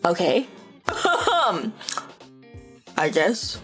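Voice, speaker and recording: A young woman streaming casually, reading stories aloud and chatting with viewers, animated and quick to exclaim and swear. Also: on the low side. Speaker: VTuber streamer. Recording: faint music behind.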